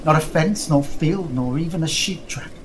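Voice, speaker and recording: A man performs an insistent young gentleman huntsman from a Gothic audio drama. His voice is on the high side and his diction is formal and earnest. There is clear background noise around him. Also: normal speed, close to an everyday voice. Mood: grave, weary. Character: distressed lost huntsman recounting his ordeal, insistent young husband. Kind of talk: audio drama acting, period Gothic storytelling.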